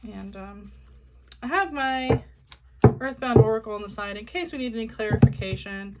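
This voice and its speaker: A woman's voice: a little high, moderate pace, very noisy. A woman hosting her own channel, talking to camera in a chatty, casual way with many fillers and restarts as she sets up a tarot reading. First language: not Korean. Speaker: tarot reader, channel host.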